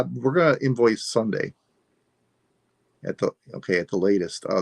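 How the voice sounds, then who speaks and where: A man of sixty-five, hosting a live sale and signing off in a relaxed, rambling way while losing his voice. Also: lowish voice, dry recording. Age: 60s. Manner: relaxed, rambling, self-deprecating. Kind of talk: casual live stream sign-off.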